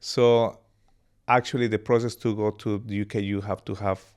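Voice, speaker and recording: A man in his thirties from Colombia with a Colombian accent and Spanish as his first language, telling his life story as a podcast guest in a relaxed, rambling way. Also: lowish voice, moderate pace, clear, resonant tone. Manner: relaxed, laughs easily, rambling anecdotes. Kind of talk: casual interview storytelling.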